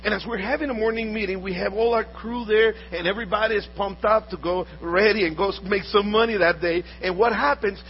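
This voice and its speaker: A man's voice: sits high, fairly even intonation, a little fast, hardly any pauses. A man from Honduras earnestly telling personal stories, often repeating words and restarting as he talks. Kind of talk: storytelling talk. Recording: dull audio with faint mains hum.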